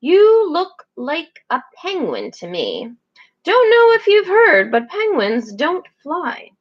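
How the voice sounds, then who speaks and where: A woman's voice: very high voice, huge pitch swings, slow, lo-fi sound, pitched above the performer's own voice, heavily acted. Brief: woman as doubtful snow petrel, musing, storybook voice.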